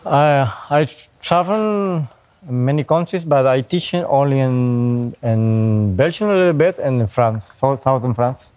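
Mellow-voiced Costa Rican bamboo teacher. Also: male voice, mid-range voice, lo-fi sound. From Costa Rica. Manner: halting, simple non-native English. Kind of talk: casual interview answers. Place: outdoors on a farm.

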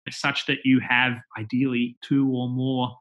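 A man's voice: medium pitch, little pitch movement, measured pace, a bit bright, dull audio. A man with an Australian accent, a company executive talking as a guest in a casual interview, chatty and full of fillers and restarts.

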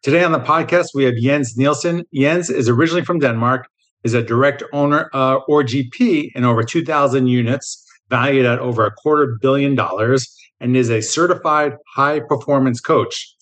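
A man hosts a podcast and interviews a guest. His voice sits in a typical middle pitch, and he stumbles now and then on repeated words. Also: normal speed, a bit bright. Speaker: podcast host.

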